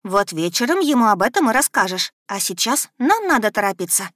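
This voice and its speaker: A woman voicing a spirited young female magic student, brisk, bossy and teasing, in a fantasy audiobook. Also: medium pitch, big pitch swings, fairly bright tone, no echo, studio quality.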